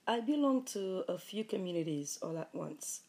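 Woman with a Haitian accent, low and gravelly, warm mellow tone, earnest measured delivery. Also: expressive. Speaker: mother, high school teacher. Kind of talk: reading prepared interview answers.

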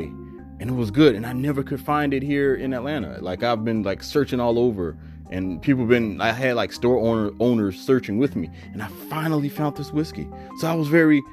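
A man vlogging, telling a rambling personal story full of asides and fillers in a voice he calls bassy. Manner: animated, digressive, many fillers and asides; self-described bassy voice.

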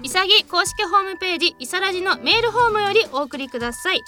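High voiced radio host lady, teasing and chatty, music playing behind. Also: expressive, fast talker, pushed and bright. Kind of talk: casual radio chat. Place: studio.

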